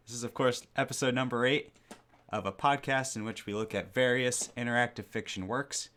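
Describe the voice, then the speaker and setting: A young man with a fairly low voice hosts a casual streamed podcast. He talks in a loose, easygoing way, tossing in wry little jokes as he goes. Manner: relaxed, chatty, with frequent ums and self-deprecating jokes. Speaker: podcast host. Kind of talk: casual livestream podcast chat.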